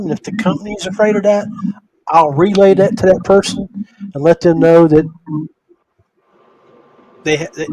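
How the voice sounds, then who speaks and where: A man's voice: on the high side, warm tone, rough recording. A man hosting a casual podcast discussion, talking in a conversational, anecdotal way. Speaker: podcast host.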